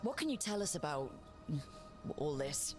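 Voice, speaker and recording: Low-voiced, resolute fantasy game heroine. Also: female voice, very animated, normal speed, music in the background. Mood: earnest, inquiring. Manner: plain, earnest, decisive. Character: steady, resolute young heroine leading a fantasy party.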